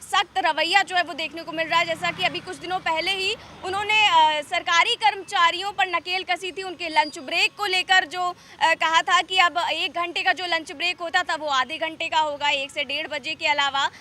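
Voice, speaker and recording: A woman giving a news report as a reporter, explaining in a halting way with frequent hesitations. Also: very high-pitched, fairly even intonation, bright, forceful tone, a little hiss or hum.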